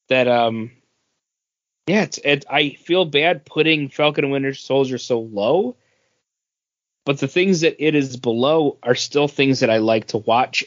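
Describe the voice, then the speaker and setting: A man's voice: mid-range voice, dry recording, muffled recording. A man chatting casually as a podcast co-host, giving opinions in a hesitant, rambling way with repeated words. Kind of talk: casual opinion chat about movie rankings.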